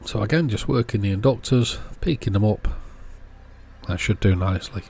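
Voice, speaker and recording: A man's voice: on the low side, lively intonation, normal speed, creaky, a little mellow, slight background noise. A man hosting a repair video, giving casual hands-on commentary and thinking aloud as he works. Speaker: host of an electronics repair channel.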